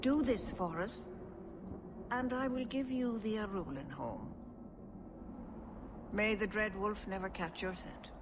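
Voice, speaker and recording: An actress playing a calm, stern yet caring older elven clan leader in a fantasy game, speaking formally. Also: female voice, medium pitch, background noise, close to an everyday voice. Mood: grave, measured. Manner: measured, formal. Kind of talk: fantasy video game voice acting.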